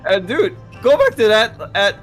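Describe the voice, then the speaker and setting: A man's voice: high-pitched, wildly swooping pitch, normal speed, fairly bright tone. A middle-aged man chatting casually as a livestream co-host while demonstrating his artwork on screen. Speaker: livestream co-host and game artist. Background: music.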